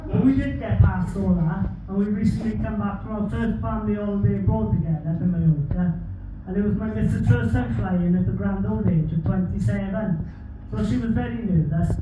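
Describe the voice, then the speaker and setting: A young man with a Welsh accent performs stand-up, telling stories in a deadpan manner and swearing freely. His voice sits fairly high for a man. The sound is distant and booms around a big echoey hall, with noise from the room underneath. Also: fairly quick, highs cut off. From Wales. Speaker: stand-up comedian. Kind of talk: stand-up comedy set. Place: theatre stage with audience.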